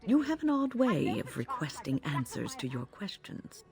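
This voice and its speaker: An actress playing a composed, wise older female mage in a video game, measured, formal and gently wry. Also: deep voice, wildly swooping pitch, gravelly, music in the background, exaggerated voice.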